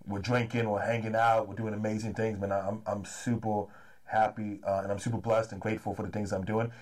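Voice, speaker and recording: A man in his thirties from the Los Angeles area, a promoter chatting eagerly as an interview guest, often tacking "man" onto his sentences. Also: fairly low pitch. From Los Angeles area, California. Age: 30s. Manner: fast, energetic, repeats "man" often. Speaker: comedy and hip-hop promoter, guest. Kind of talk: casual interview chat.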